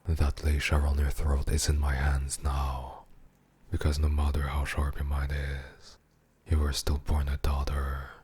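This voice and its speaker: A man playing a possessive, menacing, seductive mafia boss in an ASMR roleplay monologue, with a husky, breathy voice and a condescending, intimate murmur. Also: a bass voice, completely flat delivery, unhurried, a little mellow, full character voice. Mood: cold, taunting.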